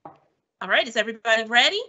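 A woman's voice: fairly high pitch, animated, fairly quick, pushed and bright, muffled recording, slight background noise. A woman, around her thirties, hosting a live online event in an encouraging presenter's manner.

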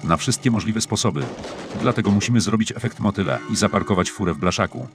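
A man voicing a calm, fatalistic, scheming handyman in a comic cartoon, speaking in a matter-of-fact deadpan. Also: fairly low pitch, fast, natural voice. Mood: deadpan, urgent planning. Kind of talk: dubbed comic cartoon dialogue in Polish. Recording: music playing behind the voice. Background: music.

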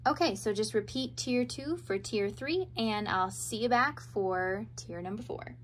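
A woman's voice: mid-range voice, unhurried, slight background noise. A young woman with a stuffy, congested nose from a cold, chatting through a crochet tutorial in an upbeat, casual way. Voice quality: nasal, congested. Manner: chatty, upbeat, talks over her hands-on work.

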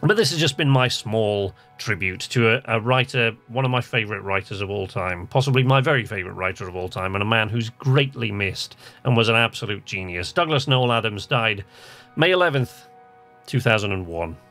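A man's voice: on the low side, expressive, brisk, a bit bright. Male game channel host, casual playthrough talk, music underneath.

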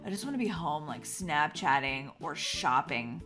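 A woman's voice: deep voice, expressive, moderate pace, fairly bright tone, soft background music. A woman voicing a sullen, bored teenage cheerleader with a dismissive drawl in a cartoon skit. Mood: annoyed, bored.

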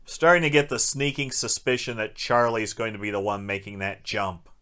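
A man's voice: lowish voice, steady tone, normal speed, bright, forceful tone, soft background music. A man narrating a sarcastic scripted film review in an irreverent, wisecracking, profane manner. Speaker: movie reviewer.

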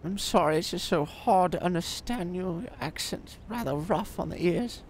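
A woman's voice: lowish voice, moderate pace, darkish tone, slight background noise, natural voice. A woman voicing a genteel, easily scandalized elderly lady who calls people dear and talks in a fussy, quavering way. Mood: scolding. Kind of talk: roleplay acting.

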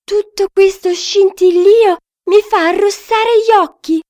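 A woman's voice: very high-pitched, steady tone, unhurried, darkish tone, voice pushed up. A woman voicing a dramatic, demanding young storybook princess, theatrical and exclaiming. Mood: indignant.

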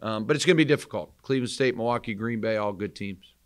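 A man in his forties with an American accent and a slightly low voice. He answers questions as a basketball coach after a game, candid and measured, often pausing to find the words. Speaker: college basketball head coach. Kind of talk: postgame press conference answers. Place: indoor press conference room, seated at table. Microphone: microphone on table stand.

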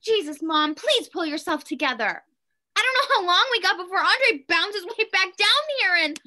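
A woman's voice: very high-pitched, expressive, moderate pace, pushed and bright, heavily acted. A woman in her twenties playing an exasperated, sarcastic daughter held captive, in a play reading over a video call. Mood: urgent, pleading. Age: 20s. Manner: sarcastic, foul-mouthed, pleading. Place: home kitchen, indoors.

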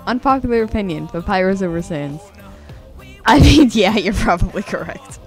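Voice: mid-range voice, expressive, normal speed, a little mellow. A teenage streamer chatting casually and informally, with plenty of swearing. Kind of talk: casual livestream chat while drawing. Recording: music playing behind the voice. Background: music.

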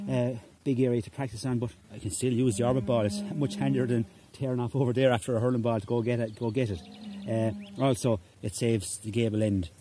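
A middle-aged man from Ireland who coaches hurling and gives a casual demonstration on a large rural lawn, talking in a relaxed way with frequent "okay?" checks and fillers. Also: mid-range voice, slight background noise. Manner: relaxed, repeats "okay?" often, hesitant fillers. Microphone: phone camera at ground level.

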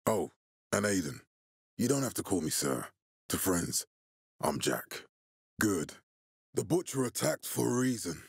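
An actor playing a stern, resolute, fatherly militia leader in a video game, speaking with measured, deliberate authority in a husky voice. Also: sits low, sing-song, dry recording, studio quality, full character voice. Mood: earnest, determined.

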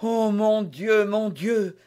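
A man's voice: high voice, fairly even intonation, slow, a little mellow, pitched above the performer's own voice. A man voicing an anguished, dignified queen torn by forbidden love, agitated and imploring, in a historical romance audiobook. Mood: desperate, imploring.

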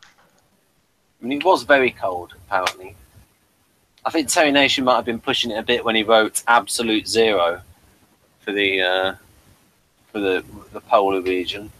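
A man's voice: on the low side, moderate pace, long pauses, bright, forceful tone, slight background noise, rough recording. A man chatting casually as he co-hosts a review podcast. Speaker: podcast co-host.

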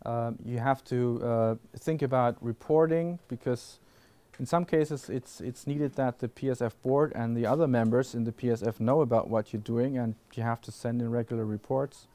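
A man's voice: medium pitch, steady tone, normal speed, few pauses, dark tone. A man on a conference panel answering audience questions, often restarting sentences with fillers. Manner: thoughtful, many restarts and fillers. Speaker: panel speaker. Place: classroom lecture hall with audience.

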